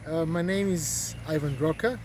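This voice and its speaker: Man with a high, mellow voice. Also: normal speed, a little hiss or hum. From Croatia. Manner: hesitant, many fillers and repeated words. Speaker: traveler. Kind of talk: casual travel talk. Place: outdoors at a golf resort.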